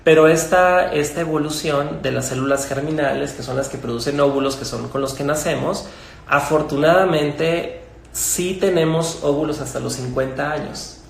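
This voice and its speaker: A middle-aged man with a Mexican Spanish accent, a gynecologist explaining things in a measured way with fillers and restarts. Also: on the high side, fairly even intonation, creaky, slight background noise. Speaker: gynecologist guest. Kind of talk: conversational explanation. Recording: echoey room with faint ambience.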